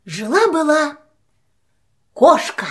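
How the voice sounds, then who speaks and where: A woman storyteller narrating a rhymed fairy tale for children in a measured way, with deliberate pauses between phrases. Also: sits high, big pitch swings, fairly bright tone.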